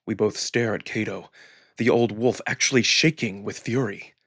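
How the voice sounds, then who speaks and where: A man with a husky voice reading first-person fiction aloud as a dramatic narrator. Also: fairly low pitch, steady tone, moderate pace, a bit bright, dry recording, clean studio sound. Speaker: narrator and performer of a visual novel reading. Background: background music.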